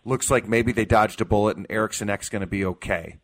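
A man's voice: on the low side, fairly even intonation, fast talker. An American man hosting sports talk radio, giving his opinions emphatically and repeating key phrases to drive them home.

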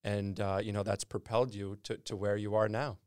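A man's voice: lowish voice, little pitch movement, a little fast, darkish tone, clear, resonant tone, no room sound, studio quality. A man hosting a podcast interview, friendly and rambling, repeating words while he thinks. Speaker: podcast host. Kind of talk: casual interview conversation.

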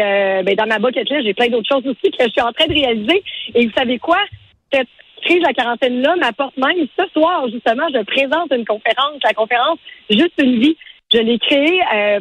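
A woman in her forties with a Quebec French accent, talking as a radio interview guest in a halting, conversational way. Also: a little high, breathy edge, poor audio. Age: 40s. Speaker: interview guest, event organizer and matchmaker.

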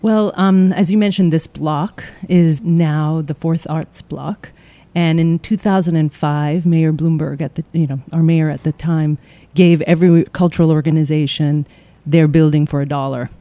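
A man answering interview questions about his theater company in a measured way, with frequent fillers. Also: on the high side, steady tone, dark tone.

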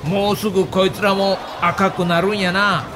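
A man acting a high-voiced folksy Kansai ballplayer. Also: flat delivery, moderate pace, music in the background, close to an everyday voice. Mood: musing, joking. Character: folksy, good-humoured veteran pro baseball player. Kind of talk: acted dialogue in an audio documentary.

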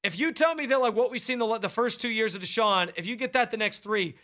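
Loud, bright-voiced sports radio man. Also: sits high, fast. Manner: loud, projecting delivery; fired-up, opinionated. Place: radio studio. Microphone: studio microphone, close.

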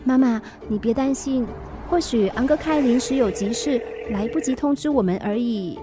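An actress playing a caring, cheeky young daughter in a radio drama, by turns soothing and teasing. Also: female voice, a little high, moderate pace, music in the background, lightly acted. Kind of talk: Mandarin radio drama acting.

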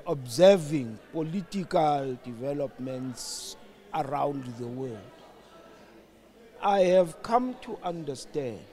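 Older male interview guest, South African accent, animated high voice with wide swings, halting and emphatic political reflection.

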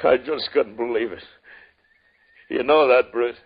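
An actor playing a wounded frontier man shot in the shoulder, with halting, drawling western speech, in a 1950s radio drama. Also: medium pitch, slightly rough. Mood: pained. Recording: dull old radio broadcast.

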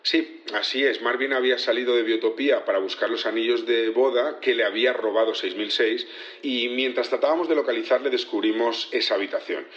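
A man's voice: mid-range voice, fairly quick, fairly bright tone, echoey room, muffled recording, close to an everyday voice. An actor playing an earnest male security chief testifying in an audio drama, polite and explanatory with slight hesitations. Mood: hesitant, cooperative. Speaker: witness in a trial. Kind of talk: naturalistic audio drama acting.

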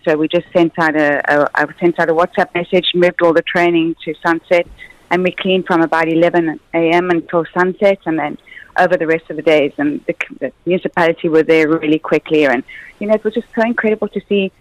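A woman guest on a radio show, owner of a surf club, energetic and rambling. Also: lowish voice, a little fast, no room sound, low-quality recording. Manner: energetic, community-minded, rambling.

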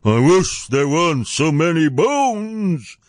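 A huge storybook giant, bellowing in a booming, rumbling voice. He is grumpy and menacing, drags his words out slowly and swings widely in pitch. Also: very animated, no room sound, studio quality. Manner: loud drawn-out bellowing.

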